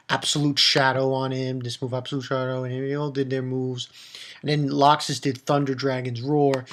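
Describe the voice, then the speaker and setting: A man's voice: mid-range voice, a bit bright. Ranting man in a casual off-the-cuff review. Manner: ranting, emphatic, blunt and profane. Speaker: manga review video host.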